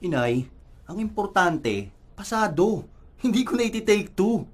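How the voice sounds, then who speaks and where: A man's voice: sits high, very animated, unhurried, husky, heavily acted. A man playing a flippant, teasing young college-student son with a Filipino accent in a radio drama. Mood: playful. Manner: teasing, playful.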